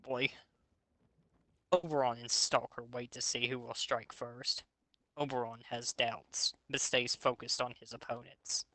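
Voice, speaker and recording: A man's voice: mid-range voice, level tone, a little slow, pushed and bright, no room sound, poor audio. A man hosting a reading of fan fiction aloud, breaking off into sarcastic, sweary commentary. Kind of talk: mocking dramatic reading with casual commentary.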